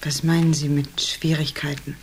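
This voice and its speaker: A female actor plays a cool, guarded dancer from a noir radio play. Her voice is on the low side and her is terse, dry and wary. There is faint noise in the background. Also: level tone, normal speed, close to an everyday voice. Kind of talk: noir radio drama acting.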